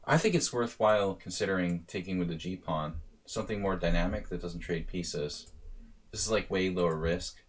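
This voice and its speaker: A man's voice: low voice, normal speed. A man streaming live chess analysis as a coach, thinking aloud in a casual way with sudden bursts of emphasis.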